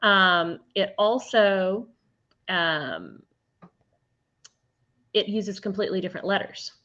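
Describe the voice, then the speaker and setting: A woman's voice: mid-range voice, animated, slow, bright, forceful tone, lo-fi sound. A woman teacher talking casually about her classes, chatty, with frequent ums and laughs. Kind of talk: casual chat.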